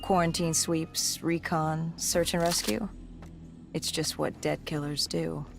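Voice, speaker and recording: A grim cyber-augmented soldier, looks back on a disaster in a video game cutscene. The voice is low-set and gravelly, with creaky edges and somber, measured phrasing. Music plays underneath. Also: little pitch movement. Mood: grim, reflective.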